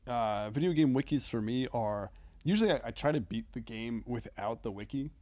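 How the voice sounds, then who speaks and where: A man's voice: mid-range voice, moderate pace, darkish tone. A man chatting casually as a podcast guest, thinking aloud in a rambling, loosely sweary way.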